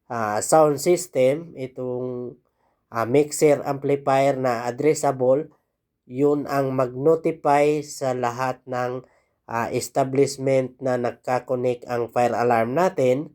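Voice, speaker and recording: Male Filipino technical instructor, mid-pitched, unhurried and halting, informal tutorial delivery. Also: a little mellow. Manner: halting, pausing mid-sentence.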